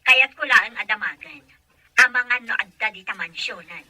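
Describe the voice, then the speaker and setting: A woman's voice: a little high, normal speed, bright, forceful tone. A woman playing a prying, goading telephone caller in an audio drama, with a needling manner. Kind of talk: amateur audio drama acting.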